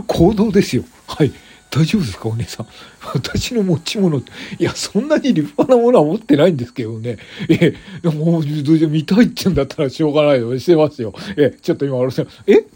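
A man's voice: a little high, sing-song, a little fast, mellow, husky, heavily acted. A man playing a tipsy, flustered, cheerful fellow who rambles and stammers over-politely in an improvised comic skit. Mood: flustered, defensive. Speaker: show host.

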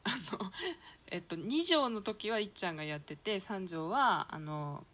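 A woman's voice: mid-range voice, expressive, a little slow, fairly bright tone. A woman hosting a casual group chat as a podcast presenter. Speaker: host of a theatre company's talk podcast.

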